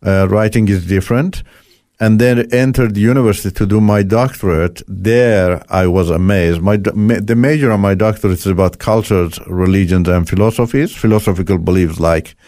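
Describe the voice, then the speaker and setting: Deep, mellow older man who speaks Turkish natively. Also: no room sound, clean studio sound. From Iran. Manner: halting, with frequent "you know" and "uh" fillers. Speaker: guest. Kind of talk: interview testimony.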